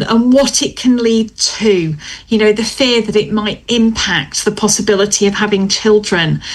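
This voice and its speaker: A woman leading a spoken prayer in a steady, earnest, measured way. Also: medium pitch, poor audio. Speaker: prayer leader.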